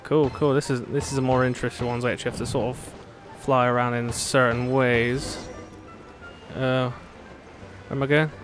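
Casting: male gaming host, mid-pitched, casual and playfully sarcastic, music underneath. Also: little pitch movement, moderate pace. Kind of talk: casual gameplay commentary. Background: game music.

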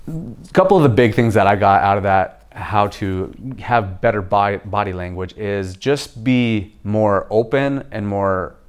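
Young man, very low deep voice, casual to-camera vlog, relaxed explaining, home kitchen. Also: unhurried, clean tone. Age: young adult. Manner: relaxed, explanatory, often checks in with "okay?". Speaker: video host reviewing a book. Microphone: camera facing him at chest-up framing.

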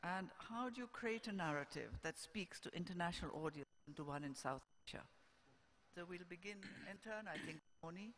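An older woman moderating a panel on stage, reading prepared introductions in a halting, measured way. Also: lowish voice, expressive, a bit bright, a little hiss or hum. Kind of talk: scripted introductions read to a festival audience. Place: on stage in a large auditorium. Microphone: stand microphone on stage. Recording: echoey hall, stage microphone.

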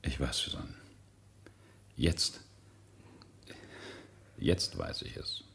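Low voice, accused rich husband, radio drama, intense, bitter, earnest. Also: male voice, big pitch swings, drawn-out words, creaky, fairly bright tone, full character voice. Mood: earnest, regretful. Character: accused wealthy businessman, bitter, regretful husband and father. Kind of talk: German radio drama acting.

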